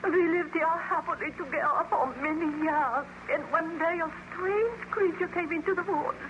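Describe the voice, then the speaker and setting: An actress playing a frightened, grieving peasant widow in an old-time radio drama, with melodramatic delivery. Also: female voice, very high-pitched, moderate pace. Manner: melodramatic, fearful. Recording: muffled, very noisy old radio recording.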